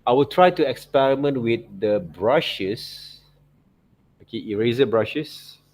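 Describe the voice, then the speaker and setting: A man teaching digital illustration in an informal software demonstration, thinking aloud and often checking in with okay and right. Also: medium pitch, animated, takes it slowly.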